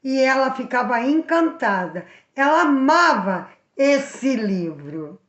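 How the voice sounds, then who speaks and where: A woman's voice: high-pitched, takes it slowly, reverberant, dull audio. Brazilian woman telling a children's story. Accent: Brazilian Portuguese. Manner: animated, addresses the young viewers directly.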